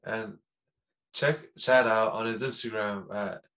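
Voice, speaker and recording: A young man hosting a podcast, chatting casually in an excitable, slangy way with frequent laughter. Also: on the low side, slow pace. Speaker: podcast host. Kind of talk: casual chat.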